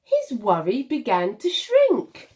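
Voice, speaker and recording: Slow, animated woman reading a children's storybook. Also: a little high. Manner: deliberate, dramatic pauses and emphasis for young listeners. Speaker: primary school teacher reading a picture book.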